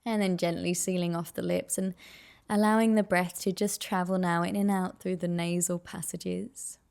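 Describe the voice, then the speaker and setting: A woman leading a guided meditation with soft, soothing, unhurried and gently encouraging instruction. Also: fairly low pitch, little pitch movement, creaky.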